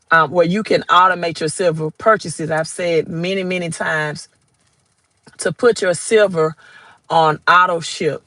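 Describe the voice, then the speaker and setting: A woman with a Southern US accent chats unhurriedly into her phone on a livestream. Her voice is bright and dry, with no room echo, and she greets viewers by name and reads their comments aloud. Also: on the low side. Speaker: crypto livestream host. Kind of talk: casual livestream chat. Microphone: phone held vertically.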